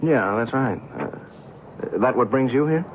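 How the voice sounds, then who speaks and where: A man's voice: mid-range voice, lively intonation, slow pace. An actor playing a brash, skeptical American newspaperman in an old-time radio drama, casual and wisecracking. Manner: breezy, colloquial, incredulous. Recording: tinny, noisy old radio recording.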